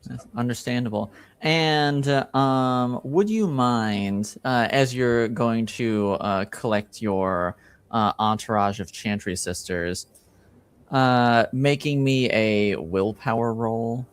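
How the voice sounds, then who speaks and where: A man running a tabletop roleplaying game, narrating casually with hesitant pauses between phrases. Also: mid-range voice, smooth, dry recording. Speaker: game master.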